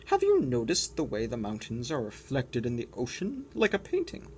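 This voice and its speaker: A woman voicing a wise, gentle old walrus with a grand, soothing, poetic way of speaking, in a picture book read-aloud. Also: very deep voice, wildly swooping pitch, normal speed, a little hiss or hum, heavily acted.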